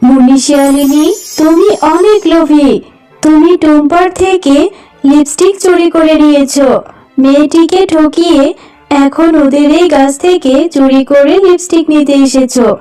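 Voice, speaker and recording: A woman's voice: sits high, moderate pace, mellow, soft background music, close to an everyday voice, poor audio. A woman voicing a magical lipstick tree, a solemn, righteous spirit in a children's cartoon, speaking in declarations. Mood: stern.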